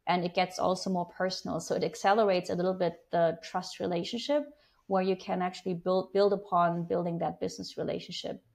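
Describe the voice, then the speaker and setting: A woman in her forties from Germany, a business executive answering interview questions in a measured, thoughtful way, speaking through earphones on a video call. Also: on the low side, little pitch movement, gravelly, a little mellow. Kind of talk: conversational interview. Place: home room with slanted ceiling window, indoors. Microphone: wired earphone mic on a video call.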